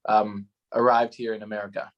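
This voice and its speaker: A man's voice: lowish voice, little pitch movement, fairly quick, dull audio. A man hosting a casual chat, upbeat and friendly, asking questions and tossing in easy fillers like "right on". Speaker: host.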